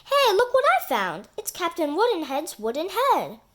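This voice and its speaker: A woman voicing a curious, chatty boy from a children's storybook, earnest and expressive. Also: very high-pitched, moderate pace, pitched above the performer's own voice, exaggerated voice. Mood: amazed.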